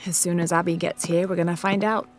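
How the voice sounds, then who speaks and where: Woman playing a young adventurer archaeologist in a game, lowish voice, polite n measured, soft music behind. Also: flat delivery, natural voice. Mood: curious. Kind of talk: video game cutscene acting.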